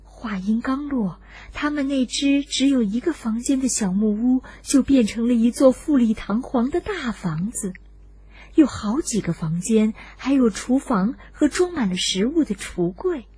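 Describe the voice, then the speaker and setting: A woman narrating a scripted children's story in an expressive, deliberate storyteller's manner, addressed to young listeners. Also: on the high side, breathy edge.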